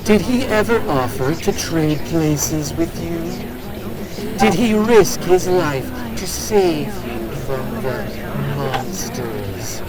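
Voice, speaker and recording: Ancient haughty monster, dragged-out menacing delivery over a layered crowd. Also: male voice, fairly high pitch, expressive, takes it slowly, poor audio. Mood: taunting. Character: ancient arrogant monster speaking as a plural "we". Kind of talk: audio drama acting.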